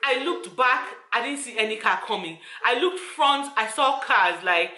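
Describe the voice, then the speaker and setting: A woman's voice: on the high side, expressive, measured pace, bright, forceful tone, echoey room. A woman telling a personal story to her viewers, earnest and repetitive, often calling out to them directly. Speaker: vlogger.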